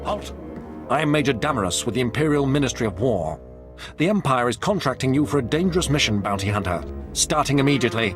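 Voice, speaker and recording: An actor playing a stern Imperial army major in a video game, giving clipped, authoritative orders. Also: male voice, medium pitch, lively intonation, quick pace, over music, close to an everyday voice. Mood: stern, urgent. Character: stern, commanding male Imperial military major. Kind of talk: video game cutscene acting.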